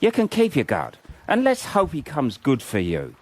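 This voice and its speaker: A man's voice: fairly high pitch, wildly swooping pitch, moderate pace. A man acting a cynical, fatalistic First World War private in a radio drama, with a blunt, colloquial manner. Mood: bitter, sardonic. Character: cynical, fatalistic WW1 private soldier in the trenches.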